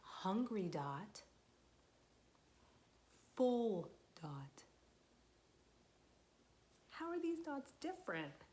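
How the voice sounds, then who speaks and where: A young woman, a children's librarian, reading a picture book aloud to small children, gentle and patient, asking them questions and waiting for their answers. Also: mid-range voice, very animated, very slow, pauses often.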